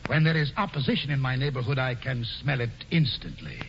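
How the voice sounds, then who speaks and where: An actor playing a pompous, scheming colonial governor, grandiose and sly in 1940s radio acting, on a muffled old broadcast. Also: male voice, mid-range voice, very animated, fairly quick, vocal fry, heavy background noise. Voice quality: grandiose, mock-genial, sly. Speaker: governor Stuyvesant.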